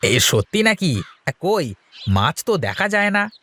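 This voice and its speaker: A man voicing an easygoing, kindly village man in a cartoon, expressive and reassuring. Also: high-pitched, unhurried, full character voice. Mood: doubtful. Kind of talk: cartoon voice acting.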